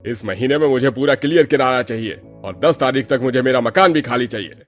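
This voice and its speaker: A man's voice: mid-range voice, a little fast, faint music behind, natural voice. A man voicing a grumpy, bullying landlord in a cartoon story, scolding and blustering. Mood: demanding. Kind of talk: Hindi cartoon story acting.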